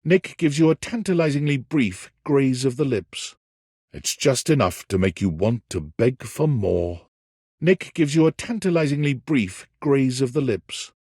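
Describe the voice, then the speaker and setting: A man's voice: medium pitch, expressive, unhurried, a little mellow, breathy edge, no room sound, clean studio sound. An actor playing a wry, dramatic storyteller, a man narrating a cheeky dating game in an arch, florid, theatrical way. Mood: teasing. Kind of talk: scripted narration.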